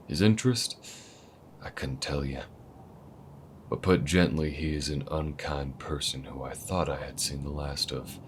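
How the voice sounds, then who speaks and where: A calm, weathered alien gunslinger, voiced by a man with an extremely deep, rumbling voice that often drops to a soft near-whisper. He talks in an easygoing, laid-back drawl. A faint low rumble sits under the recording. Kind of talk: audio roleplay acting.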